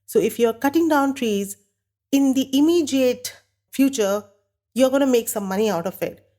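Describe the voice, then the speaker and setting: Woman host with a cold, nasal and croaky. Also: fairly high pitch, moderate pace, mellow. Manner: earnest, candid, talks with a light laugh now and then. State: has a bad cold. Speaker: podcast host. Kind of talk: solo podcast monologue.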